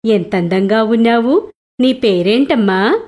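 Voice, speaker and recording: Woman as a gentle, polite bangle seller. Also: a little high, slow pace. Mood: kindly.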